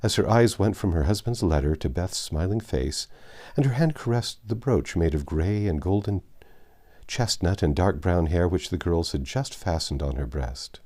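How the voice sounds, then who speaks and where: Male narrator for a classic novel: very low, lively intonation, soft-edged mellow tone, measured storytelling. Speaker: audiobook narrator. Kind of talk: reading a classic novel aloud.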